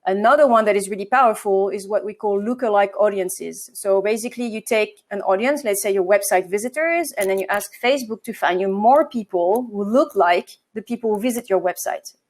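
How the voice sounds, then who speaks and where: A woman's voice: medium pitch, brisk, hardly any pauses. A woman from France with a French accent, a marketing agency co-founder answering interview questions, with hesitations and self-corrections.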